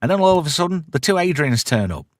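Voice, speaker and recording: Sweary British man with a very animated delivery. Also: fairly high pitch, fast talker, dry recording, studio quality. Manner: sweary, slangy, irreverent. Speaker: host of a pop culture review show. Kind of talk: casual recap chat.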